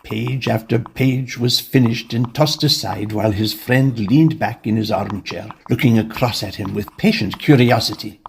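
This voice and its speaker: A man's voice: mid-range voice, normal speed, creaky, hardly any pauses, slight background noise. A man giving scripted narration of a Victorian horror story.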